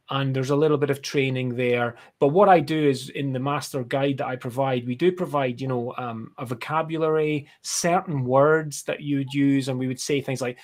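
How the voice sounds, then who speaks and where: A man with a Scottish accent giving explanations in a casual, rambling, conversational interview style. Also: medium pitch. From Scotland. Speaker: guest, brand strategist and designer. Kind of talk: casual live interview chat.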